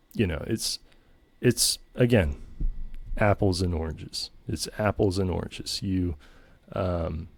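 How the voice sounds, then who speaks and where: Smug low-voiced man rambling on. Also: gravelly, dry recording. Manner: smug, pompous and self-aggrandizing; meandering, full of ums and restarts. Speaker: comedy channel host. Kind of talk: rambling comedic monologue.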